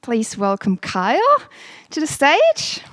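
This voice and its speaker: Chatty woman with a German accent, higher voice. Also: huge pitch swings, slow pace, a bit bright, slight background noise. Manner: chatty, self-deprecating, many ums. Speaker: event host. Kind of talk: welcome speech at a workshop. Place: event room.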